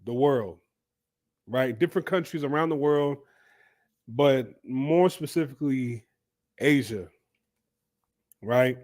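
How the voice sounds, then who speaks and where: A man's voice: medium pitch, a little mellow. A man with a stuffy cold voice hosting a loose, casual commentary video, thinking aloud with fillers and repeats. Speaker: commentary channel host.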